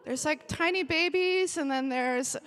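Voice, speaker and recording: A woman's voice: high-pitched, a little slow. A woman in her forties, a worship director, giving a chatty and emotional welcome to a church retreat in a large hall. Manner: warm, chatty and emotional, with bursts of cheering. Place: large hall with a live audience. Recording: long hall reverb.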